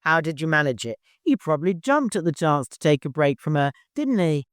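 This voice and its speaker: A man's voice: on the high side, big pitch swings, brisk, no room sound, clean studio sound, voice pushed up. A man voicing a mischievous, teasing old storekeeper in an audiobook. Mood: gleeful. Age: older. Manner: teasing, playful, exclamatory. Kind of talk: audiobook character voice.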